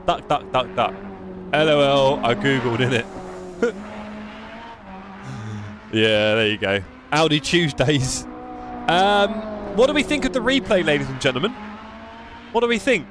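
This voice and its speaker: A man's voice: on the high side, lively intonation, unhurried, long pauses, music in the background. A man with a London accent chatting and joking with his live stream chat while playing a racing game. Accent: London English. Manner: chatty, jokey, reads chat aloud.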